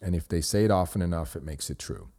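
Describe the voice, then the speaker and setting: A middle-aged podcast host from Switzerland, Swiss German by birth, speaking in a deep voice. His tone is warm and dark as he talks through a review in an earnest, rambling way. He restarts sentences often as he defends himself. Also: fast talker. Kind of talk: responding to a listener review.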